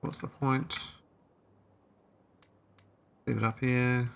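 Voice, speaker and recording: A man talks through his game in a loose, wandering way, trailing off into fillers as he works things out. His voice is gravelly and bright, and a faint hum sits behind it. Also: mid-range voice, steady tone, extremely slow. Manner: thinking aloud, rambling with fillers. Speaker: gameplay streamer. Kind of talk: casual let's-play commentary.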